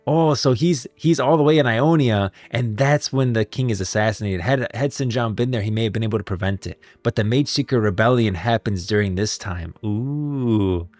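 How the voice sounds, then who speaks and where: A man's voice: lowish voice, no room sound. A man hosting a casual livestream, talking about game lore in an enthusiastic, emphatic way. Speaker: livestream host and video creator.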